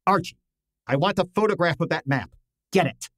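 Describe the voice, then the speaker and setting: A man playing a pompous, imperious middle-aged genius detective, precise and deliberate, in an old-time radio drama style. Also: fairly high pitch, a bit bright, no room sound, clean studio sound. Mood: imperious, exasperated.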